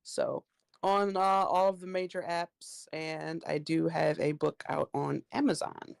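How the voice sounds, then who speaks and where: A woman's voice: sits low, flat delivery, measured pace, vocal fry, dry recording, clean studio sound. A woman chatting casually as an author guest. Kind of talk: casual chat.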